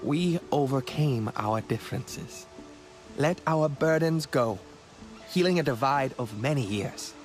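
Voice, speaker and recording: A man's voice: medium pitch, expressive, measured pace, faint music behind, lightly acted, rough recording. A man playing a passionate young alien clansman in a video game, earnest and emphatic. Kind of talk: video game dialogue acting.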